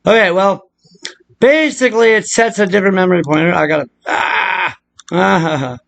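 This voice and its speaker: A man with a noticeably high-pitched voice hosts a casual video tutorial. He rambles in a loose, unscripted way, drifting into muttered asides. His delivery is crude and joking, with plenty of profanity. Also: moderate pace, gravelly, fairly bright tone.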